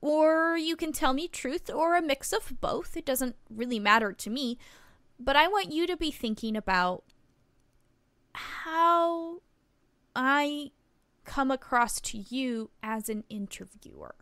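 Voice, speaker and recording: Woman as small-town female reporter. Animated, fairly high voice, patient, instructive, encouraging, for an audio fantasy story. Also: takes it slowly, no room sound, full character voice. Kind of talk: improvised story roleplay podcast.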